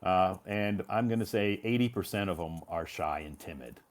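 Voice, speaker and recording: A man's voice: sits low, moderate pace. A man with an American accent, talking conversationally as an English teacher and encouraging a learner, often repeating his points.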